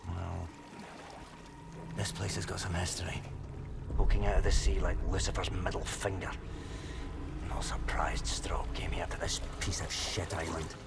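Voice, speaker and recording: An actor playing a gruff, cynical, foul-mouthed soldier in a video game cutscene, grumbling sardonically. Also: male voice, deep voice, flat delivery, a little slow, long pauses, very noisy. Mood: sardonic grumbling. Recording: loud music over the dialogue.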